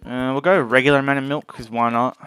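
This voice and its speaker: A man's voice: mid-range voice, fairly even intonation, fast talker, a bit bright. A man giving casual let's play commentary on a video game as its host. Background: game music.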